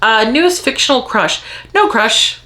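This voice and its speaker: A woman chatting casually to viewers as a book vlogger, thinking aloud and interrupting herself in an expressive, rambling way. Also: fairly high pitch, a little slow. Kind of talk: casual chat to viewers, answering tag questions.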